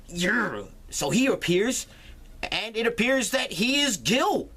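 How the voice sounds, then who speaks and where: A man hosting his own comedic movie review show, retelling a plot with crude, animated energy and swearing. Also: high-pitched, takes it slowly, husky. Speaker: film reviewer, show host.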